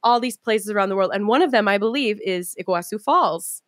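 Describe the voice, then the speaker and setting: A woman's voice: medium pitch, fairly quick. A woman from the United States hosting a podcast, reacting with upbeat enthusiasm in casual interview talk. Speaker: podcast host.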